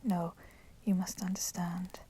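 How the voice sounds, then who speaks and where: A woman voicing a proud, resentful female vampire in a whispered ASMR roleplay, speaking softly and deliberately in formal, menacing phrases. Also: on the low side, flat delivery. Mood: bitter, proud. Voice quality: soft, breathy whispering. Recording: constant crackling fire noise behind voice. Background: crackling fire.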